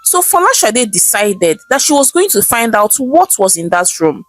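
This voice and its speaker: Animated Nigerian woman storyteller, high-pitched. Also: normal speed, faint music behind. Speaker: storyteller, narrator. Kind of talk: scripted folktale narration.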